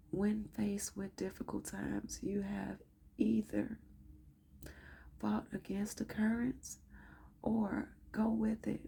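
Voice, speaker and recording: Slow whispery gravelly woman. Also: medium pitch, slight background noise. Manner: soft whispery, emotional, folksy endearments. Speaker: tarot reader. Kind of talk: tarot reading, talking to viewers.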